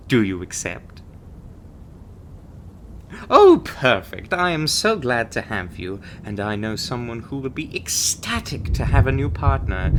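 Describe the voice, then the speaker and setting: Man playing a chirpy, menacing recruiter over rumbling noise. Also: lightly acted. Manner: cheerful, glib delivery of grim threats. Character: chirpy, breezily menacing bureau recruiter, adult. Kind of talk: ASMR roleplay.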